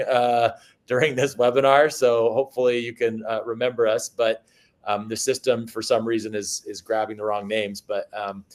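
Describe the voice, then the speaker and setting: A man hosting a business webinar, halting and full of fillers as he introduces guests. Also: medium pitch. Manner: halting, many fillers and restarts. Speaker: webinar host. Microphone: video call.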